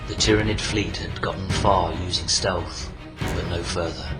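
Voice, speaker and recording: A man narrating a scripted science fiction story in a solemn, measured, dramatic storytelling manner. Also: low-pitched, steady tone. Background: loud music.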